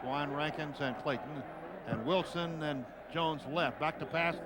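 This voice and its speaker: American man, fairly high voice, radio play-by-play announcer, clipped football calls, clear stadium noise behind. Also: normal speed. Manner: clipped phrases, calling action as it happens.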